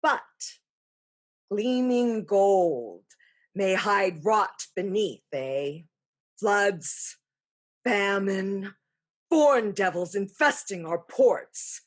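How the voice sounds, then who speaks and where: A woman playing an ageless, regal sorceress, sly and ambitious, in a slow, portentous staged play reading. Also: medium pitch, lively intonation. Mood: sly, scheming.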